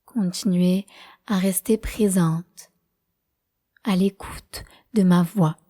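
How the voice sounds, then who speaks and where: A woman leading a guided prenatal meditation as a teacher, speaking in a calm, measured, soothing way. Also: lowish voice.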